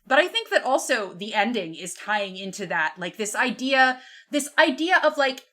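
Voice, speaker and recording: A woman on a podcast panel discussing books casually, thinking aloud in an animated way. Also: a little high, moderate pace.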